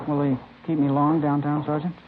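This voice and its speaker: An actor playing an uneasy teenage schoolboy suspect in a vintage radio drama, hesitant and evasive as he asks halting questions. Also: a little high, little pitch movement, close to an everyday voice. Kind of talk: 1950s radio drama acting. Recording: noisy, tinny old radio recording.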